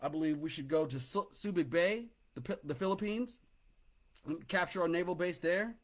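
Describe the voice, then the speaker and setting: A middle-aged American man giving a personal political talk as a commentator, speaking emphatically and haltingly, often repeating words. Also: a little high.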